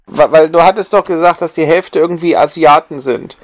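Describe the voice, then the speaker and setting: Man, fairly high voice, dry studio-like sound, wry, questioning, casual podcast chat. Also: fairly quick, no echo. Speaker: podcast co-host.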